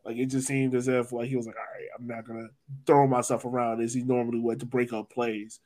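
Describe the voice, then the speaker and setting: A man's voice: medium pitch, flat delivery, quick pace, mellow. A man hosting a casual, conversational sports discussion as a podcast host.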